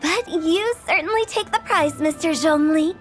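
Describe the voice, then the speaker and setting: A mischievous young funeral parlor director, teasing and theatrical. Her voice is very high and sing-song, with faint game music underneath. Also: normal speed. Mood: playful. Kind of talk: game cutscene voice acting.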